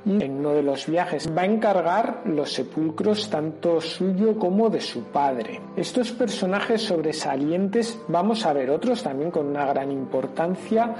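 A man with an accent from Spain presents a radio history segment in a didactic way. His voice sits a little high for a man yet sounds very mellow, with music faintly behind it. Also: animated, a little slow, few pauses. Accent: Spanish from Spain. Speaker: local heritage presenter. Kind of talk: explanatory radio talk on history.

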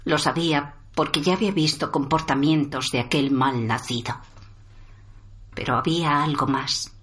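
An actress playing a sombre, wounded woman in a radio drama, speaking solemnly and deliberately. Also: sits low, fairly bright tone. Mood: sorrowful, bitter. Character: wounded, sombre woman, survivor of violent abuse. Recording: roomy echo under music.

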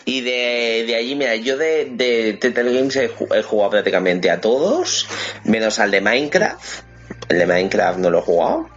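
A man hosting a casual video game podcast, talking haltingly and often repeating his words. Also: medium pitch, expressive. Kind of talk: casual chat. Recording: dull lo-fi mic, faint noise.

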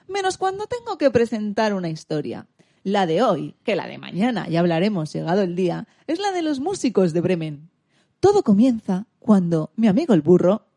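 A woman's voice: mid-range voice, mellow. A woman playing a wordy, playful presenter narrating an amateur radio play in a theatrical manner. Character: chatty storyteller presenter who jokes about being late.

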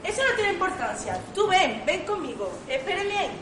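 An actress playing a brisk, bossy young bride-to-be in an amateur comic radio play, emphatic and decided. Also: female voice, very high-pitched, sing-song. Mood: puzzled, humouring. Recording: roomy distant stage recording, noisy audience.

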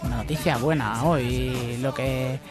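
A man's voice: mid-range voice, fairly even intonation, unhurried, music in the background. A man with an accent from Spain co-hosting a radio show with jokey, colloquial comic banter and mock news. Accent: Spanish from Spain. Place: radio studio.

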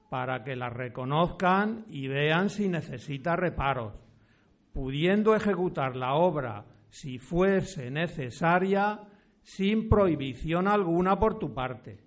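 Man playing a slow-speaking, high-voiced village priest. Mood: firm, instructive. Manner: deliberate, formal, old-fashioned speech. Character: courteous, authoritative village parish priest of the 1700s. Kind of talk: amateur historical radio drama acting.

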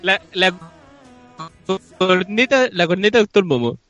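Male comedy host, Chilean accent, voice on the high side, casual and mock-solemn, repeats himself. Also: expressive, a little slow, a bit bright, soft background music. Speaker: host of a comedy improv show. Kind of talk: casual joking group chat.

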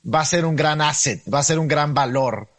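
A male interviewer with a voice a little higher than average leads the conversation. He asks chatty, probing questions and mixes in his own reflections. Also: normal speed, a bit bright.

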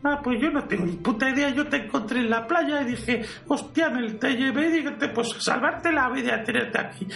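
High, stammering timid priest. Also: male voice, brisk, gravelly, few pauses, sharp tone, full character voice. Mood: hesitant explaining. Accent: Spanish from Spain. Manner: stammering, hesitant, apologetic. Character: timid, rambling priest, caretaker brother of a fallen conqueror. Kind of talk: amateur parody audio drama. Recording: roomy, distant mic, music underneath.

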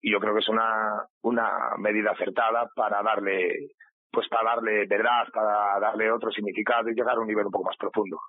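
Man, Galician accent, husky, halting interview speech, close dry sound. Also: on the low side, level tone, dry recording, phone-quality sound. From Galicia, Spain. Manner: halting, searching for words. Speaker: actor being interviewed. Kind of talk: reflective interview answers.

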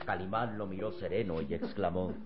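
A man's voice: sits low, a little fast, noisy background. A man narrating a radio serial in an emphatic, theatrical style full of suspense. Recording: dull old radio recording with music.

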